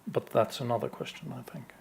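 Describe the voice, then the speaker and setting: A man from Sweden answering audience questions at a conference, slow and hesitant with many fillers. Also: mid-range voice, vocal fry, darkish tone, a little hiss or hum. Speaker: conference speaker.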